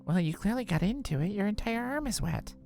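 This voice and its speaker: A woman playing a bossy, dismissive teenage girl in a visual novel, with a brisk, exasperated, casual delivery. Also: medium pitch, expressive, creaky, darkish tone. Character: bossy, dismissive teenage girl nudging a nervous friend along. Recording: music under the voice.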